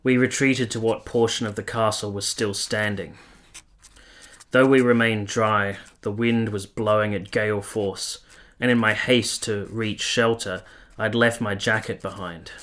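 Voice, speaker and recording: A man playing an earnest, gentle soldier in a period radio play, speaking in a measured, literary way. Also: lowish voice, fairly even intonation. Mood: sombre, haunted. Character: earnest, gentle young soldier narrating his own story. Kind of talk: first-person dramatic narration in a radio play.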